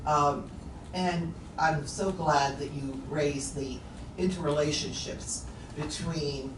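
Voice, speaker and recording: A woman's voice: deep voice, steady tone, slow. A woman projects her voice confidently as she addresses the speaker from the audience. She sounds far off in a roomy hall with background noise. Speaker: university associate rector, audience member. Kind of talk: asking a question from the floor. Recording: echoey room from far off, noisy.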